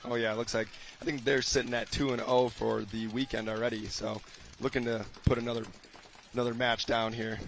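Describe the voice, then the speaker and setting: Raspy, flat-voiced man. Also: mid-range voice, brisk, a little hiss or hum. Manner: easygoing, laughs often. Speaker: paintball commentator. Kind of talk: live color commentary.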